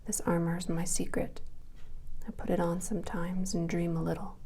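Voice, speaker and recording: A woman's voice: on the low side, flat delivery, moderate pace, dark tone. Woman whispering as a kindly, wistful court jester. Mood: wistful, confiding. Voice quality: breathy whisper. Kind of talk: whispered storybook character reading.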